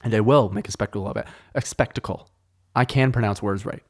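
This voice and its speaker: A man's voice: fairly low pitch, fast talker. A man hosting a solo podcast, telling meandering, profane stories in a joking, casual way, with French as his first language. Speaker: podcast host. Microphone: close studio mic.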